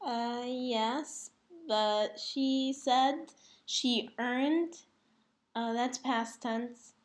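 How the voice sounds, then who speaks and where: A female student speaks in class at a slow pace. Her voice sits a little high, with the delivery of someone answering or reading aloud for a teacher. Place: indoor classroom.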